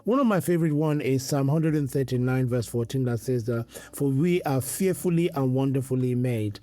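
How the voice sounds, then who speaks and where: A man's voice: medium pitch, moderate pace, dark tone, soft background music. A middle-aged man from Nigeria, a priest answering interview questions earnestly and quoting scripture. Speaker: priest and guest.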